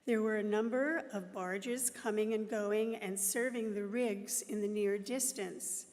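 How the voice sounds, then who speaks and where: A middle-aged woman leading a church service, telling a story in a slow, earnest way into a microphone. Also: mid-range voice, steady tone, a little mellow. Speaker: worship leader. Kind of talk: reflective talk at a service. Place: church sanctuary. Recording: reverberant hall.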